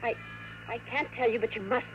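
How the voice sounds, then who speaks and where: An actress playing a guarded, anxious young secretary, earnest and urgent, in a 1950s radio drama on a muffled, hissy recording. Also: female voice, a little high, fairly even intonation, a little fast, close to an everyday voice.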